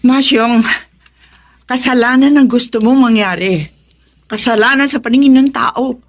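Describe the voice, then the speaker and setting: A woman's voice: on the high side, measured pace. An actress playing a devout, principled and devoted single mother in a Filipino radio drama, speaking earnestly. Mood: troubled, firm.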